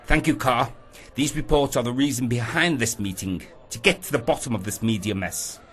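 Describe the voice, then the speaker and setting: A man playing an authoritative, worried newspaper boss in a radio play, speaking in a commanding, deliberate way. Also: mid-range voice, fairly bright tone, close to an everyday voice. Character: authoritative, worried male newspaper boss addressing his staff.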